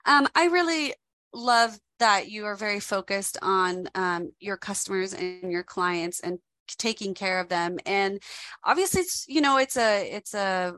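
A woman's voice: medium pitch, measured pace, bright, forceful tone, dry recording. A woman hosting a business podcast interview over a call, upbeat and speaking with some fillers. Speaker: podcast host. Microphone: remote call.

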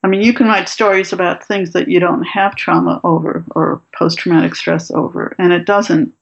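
A woman from Morocco who teaches writing workshops, speaking as a guest in an interview in a thoughtful, measured way. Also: on the low side, flat delivery, creaky, highs cut off. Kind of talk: interview, reading her own prose aloud.

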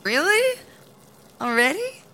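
A woman's voice: very high-pitched, big pitch swings, slow, sharp tone, exaggerated voice. A woman playing a chummy, evasive prison inmate who feigns innocence in a comedy audio drama. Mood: evasive, sheepish. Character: evasive, chummy female inmate behind on rent.

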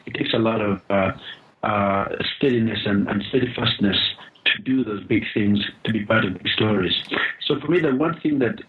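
Earnest man on a muffled call line. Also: fairly low pitch. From Uganda. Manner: earnest, pauses with frequent uh fillers and restarts. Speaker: guest, founder of a charity. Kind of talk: podcast interview, reflective storytelling. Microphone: phone or call line.

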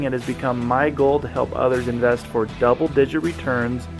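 Upbeat male podcast host. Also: mid-range voice. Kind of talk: podcast interview.

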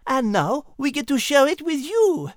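A woman's voice: high-pitched, sing-song, normal speed, no room sound, clean studio sound. A woman voicing a polite, genteel French cat in a children's story podcast, speaking with a French accent. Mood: gracious.

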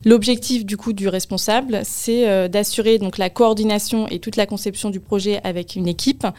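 A woman's voice: mid-range voice, steady tone, normal speed, darkish tone. A young woman from the Paris region of France answering interview questions in an explanatory way, with frequent hesitations. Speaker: interview guest, senior property programme manager.

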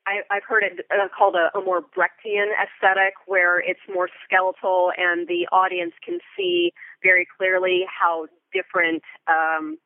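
An American woman being interviewed as a Foley artist, explaining things thoughtfully and in detail, with halting pauses. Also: fairly low pitch, level tone, dry recording, phone-quality sound. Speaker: guest, theatre Foley artist. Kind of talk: interview conversation.

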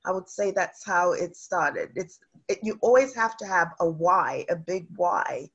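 A woman's voice: lowish voice, unhurried, husky, muffled recording. A woman from South Africa, a guest chatting in an interview with lots of fillers and restarts. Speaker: guest real estate investor. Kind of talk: casual interview.

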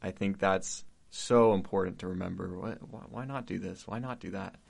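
Young male podcast host, very deep and gravelly, halting and encouraging. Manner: warm, supportive, many false starts. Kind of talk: casual conversation.

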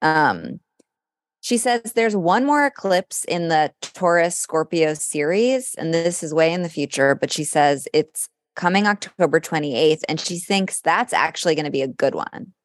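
Low-voiced woman, dry and close. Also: animated, measured pace, no room sound. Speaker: podcast co-host. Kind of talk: casual chat about horoscopes.

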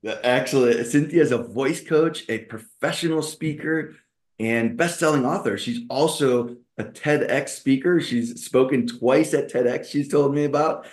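A man's voice: medium pitch, measured pace. A man hosting a podcast interview, enthusiastic and welcoming, often restarting his sentences. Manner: enthusiastic, with many restarts and fillers. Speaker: podcast host.

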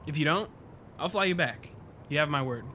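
Guy acting chatty joker scientist, audio drama, slightly high voice, bit of noise. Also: lively intonation, fairly quick, pushed and bright. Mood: upbeat, teasing. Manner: casual, joking. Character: male scientist pilot, chatty, joking, friendly.

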